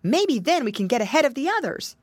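A woman's voice: high-pitched, sing-song, brisk, no room sound, studio quality, pitched above the performer's own voice. A woman voicing a sensible, helpful little boy piglet, speaking earnestly in a children's storybook. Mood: suggesting.

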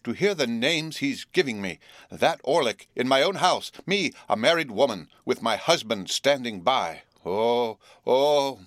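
A man's voice: fairly low pitch, normal speed, no echo, studio quality, lightly acted. A man reading aloud and voicing a furious, shrieking middle-aged wife who works herself into a rage. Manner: screaming, theatrical rage.

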